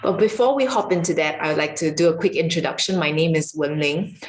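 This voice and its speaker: A woman from Singapore, a qigong and yoga teacher, calmly giving an online lesson with some filler ums. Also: deep voice, quick pace, a little hiss or hum. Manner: calm, explanatory, with filler ums. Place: home room with marble floor. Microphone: camera mic, video recording. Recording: echoey room.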